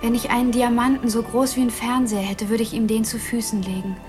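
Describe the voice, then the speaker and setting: A woman's voice: fairly high pitch, level tone, fast, music in the background, close to an everyday voice. A woman playing a childlike, volatile young outlaw in love, in a radio drama, with a naive, intense manner. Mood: dreamy devotion.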